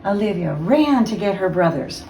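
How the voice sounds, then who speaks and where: A woman reading a children's storybook aloud as a guest reader, with expressive, dramatic storytelling. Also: mid-range voice, moderate pace. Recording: roomy, distant mic, faint noise.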